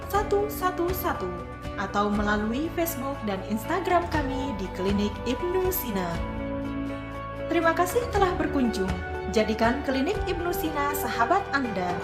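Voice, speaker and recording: Woman announcer, high voice, bouncy lively melody, echoey, loud music underneath. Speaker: voice-over announcer. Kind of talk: scripted promotional narration.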